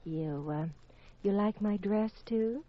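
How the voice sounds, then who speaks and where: Actress as a flirty widow on vintage radio. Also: female voice, mid-range voice, slow, close to an everyday voice. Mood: seductive. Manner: coy, teasing, unsentimental. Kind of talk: 1950s radio detective drama acting. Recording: dull vintage radio recording.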